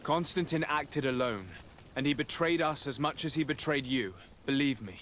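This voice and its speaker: Earnest male noble played by an actor, courteous and measured, game cutscene, ambient noise behind. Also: fairly high pitch, a bit bright, natural voice. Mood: grave, urgent. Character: earnest, courteous young noble diplomat, burdened by family betrayal. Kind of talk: video game cutscene dialogue acting.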